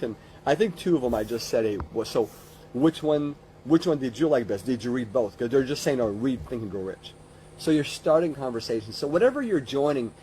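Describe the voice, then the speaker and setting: A man's voice: medium pitch, darkish tone, slight background noise, poor audio. A man in his fifties giving an informal motivational business coaching talk, rambling conversationally and repeating phrases for emphasis. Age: 50s.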